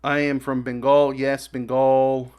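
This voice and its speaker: A man hosting a casual reaction video, with a mid-pitched voice. He reads comments aloud and adds his own remarks in an offhand way, often halting and stumbling as he goes. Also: little pitch movement, smooth, dull audio.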